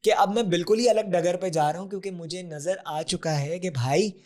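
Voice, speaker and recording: A man's voice: high-pitched, brisk, darkish tone. A man, a podcast guest who explains things earnestly and drops English terms into his talk. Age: 40s. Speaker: podcast guest, health advocate. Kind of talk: conversational interview.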